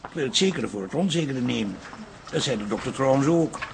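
Creaky-voiced, soothing, concerned husband. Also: male voice, mid-range voice, lively intonation, fairly quick, background noise. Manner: reassuring. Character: concerned, soothing husband at his wife's side. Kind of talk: Dutch radio drama acting.

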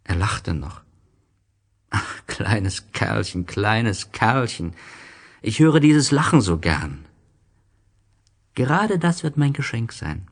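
A man narrating an audio drama slowly and softly, in a measured, sorrowful manner. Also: on the low side, big pitch swings. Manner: slow, soft, sorrowful, measured.

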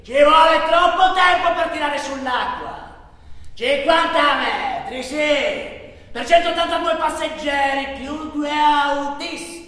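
An actor playing an anguished migrant traveller in a theatrical monologue, intense and declamatory, recorded from afar in a noisy, echoing hall. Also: male voice, extremely high pitch, exaggerated voice. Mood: indignant, shouting. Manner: intense, declamatory, swinging from hushed to shouting. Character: anguished male migrant traveller recounting a desert truck journey. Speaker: stage actor performing a monologue. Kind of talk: dramatic theatrical monologue narration. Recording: echoey hall from far off, noisy.

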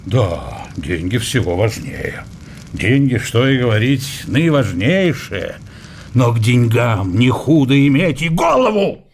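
An actor playing a gloomy, irritable middle-aged scholar who mutters and grumbles brusquely in a radio drama. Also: male voice, medium pitch, big pitch swings, slow pace, darkish tone, slight background noise. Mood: grumbling.

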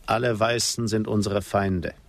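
An actor playing a proud, sorrowful Apache chief in a radio drama, with solemn, poetic delivery. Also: male voice, fairly low pitch, fairly even intonation, creaky. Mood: grave, resolute. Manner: solemn, poetic, measured declamation. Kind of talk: German radio drama acting.

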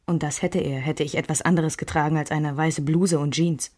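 Radio drama narrator: candid, wistful woman, low mellow voice, intimate dry delivery, reflective. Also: fairly even intonation, normal speed, dry recording. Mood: tender, intimate. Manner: reflective, alternates German with English phrases. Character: woman recalling a love triangle, wistful and candid. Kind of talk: intimate first-person scripted radio narration.

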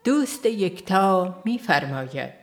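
A woman reading a religious book aloud as an audiobook, in a measured, earnest way that leans on rhetorical questions. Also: lowish voice, sing-song, faint music behind. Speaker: audiobook reader.

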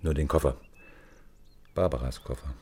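An actor playing a courteous, calculating East German spymaster in a radio crime drama, speaking in a polite, self-assured, formal way. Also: male voice, extremely low pitch, dark tone, slightly rough. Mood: persuasive, controlled. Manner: polite, measured, smugly formal.

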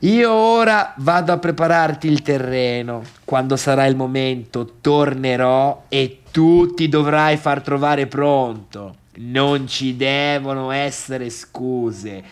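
A man's voice: medium pitch, takes it slowly, hardly any pauses, clean tone, close to an everyday voice. An actor playing a gossipy, needling party guest and domineering friend in a theatre monologue, chatty and insistent, repeating himself and addressing his listeners directly. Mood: slow, insistent.

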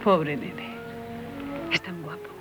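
A woman's voice: lowish voice, fairly even intonation, slow, music in the background. An actress in a 1970s radio drama playing an earnest young woman, emotional and easily troubled. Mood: relieved, tender.

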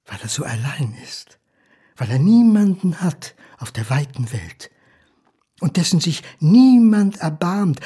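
A man's voice: on the high side, breathy edge, full character voice. A man playing a bitter, lonely illegitimate son in a radio drama, theatrical and mocking as he addresses his absent father. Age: middle-aged. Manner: theatrical, mocking, swinging between outbursts and whispers. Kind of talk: German radio drama monologue.

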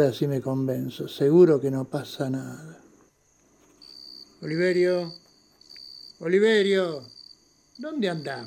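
A man's voice: fairly high pitch, dark tone, a little hiss or hum. A man playing a superstitious, chatty night watchman in an audio drama, with a Rioplatense Argentine accent, muttering to himself and exclaiming. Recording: long hall-like reverb.

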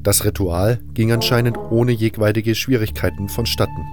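A male narrator with a fairly low voice tells a fantasy story in the first person. It is scripted audio drama narration, and music runs clearly beneath his words. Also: steady tone, normal speed.

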